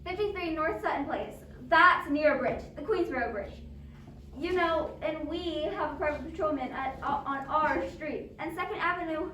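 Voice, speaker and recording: An anxious, self-absorbed invalid wife in a suspense play, acted by a woman, speaks in a high voice. She is fretful and insistent, stumbling over her words. The recording is roomy and echoing, with some noise behind her. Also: moderate pace, bright, forceful tone. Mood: nervous, explaining. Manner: agitated, stammering, insistent. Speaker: amateur drama club actor. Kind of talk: stage adaptation of a radio suspense play. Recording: roomy echo, distant mic, background noise.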